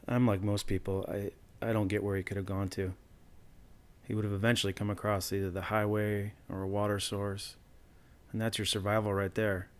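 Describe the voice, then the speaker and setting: Man acting a low, flat-toned, puzzled interviewee. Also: brisk, natural voice. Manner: plain-spoken, matter-of-fact. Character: puzzled ordinary man interviewed about a disappearance. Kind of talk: documentary-style audio drama interview.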